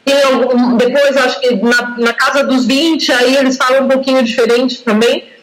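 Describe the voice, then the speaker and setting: A woman's voice: sits high, fairly even intonation, normal speed. A Brazilian woman with a Brazilian Portuguese accent, a language teacher explaining patiently in a casual tutoring chat over a video call. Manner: patient, explanatory, often affirms with "exato". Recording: roomy call audio.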